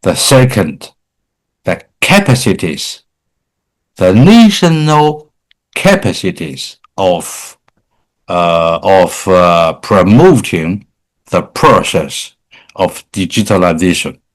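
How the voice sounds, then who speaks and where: Slow, halting Chinese-accented male lecturer. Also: sits low, sing-song. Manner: halting, with frequent repetitions and hesitations. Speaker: academic lecturer, professor. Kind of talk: conference lecture and question answering.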